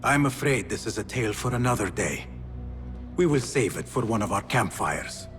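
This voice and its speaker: Brooding assassin for a game drama, male actor: lowish voice, measured and grave, music behind. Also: animated, close to an everyday voice. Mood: reserved. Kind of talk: dramatic video game acting.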